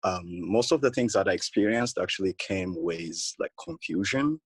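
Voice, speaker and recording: A young man from Ethiopia in a casual group discussion, talking haltingly with many fillers and restarts. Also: deep voice, animated, slightly rough, no room sound. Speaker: participant.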